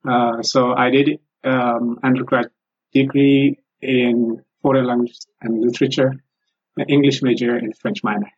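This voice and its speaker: A man with an Ethiopian accent and a slightly husky voice, a native Amharic speaker. He talks carefully and haltingly, stopping often to find his words, as an interview guest. The recording sounds dull and lo-fi. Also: medium pitch, little pitch movement. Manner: halting, careful, many pauses. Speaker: guest, former English teacher. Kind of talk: interview answers.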